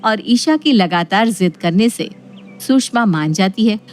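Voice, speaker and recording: A woman's voice: mid-range voice, expressive, a little slow, darkish tone. A woman narrating a scripted family story.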